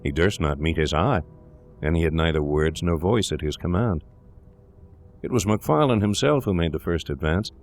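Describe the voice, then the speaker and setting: A man's voice: deep voice, animated, moderate pace, faint music behind. A man reading scripted literary narration as the narrator of a gothic tale. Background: eerie ambience.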